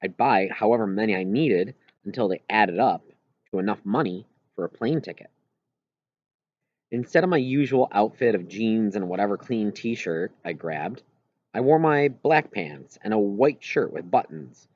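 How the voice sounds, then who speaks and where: A man's voice: fairly low pitch, lively intonation, normal speed, dull audio. A man reading a children's novel aloud in first-person narration, as an audiobook reader.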